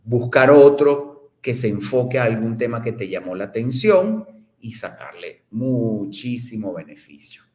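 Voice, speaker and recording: A man's voice: medium pitch, lively intonation, unhurried, darkish tone, reverberant. Earnest man with a Venezuelan accent. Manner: earnest, encouraging, emphatic. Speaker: guest. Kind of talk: online panel conversation.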